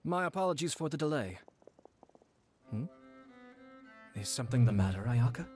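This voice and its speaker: A man voicing a calm refined nobleman. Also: mid-range voice, normal speed, soft background music, lightly acted. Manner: polite, composed.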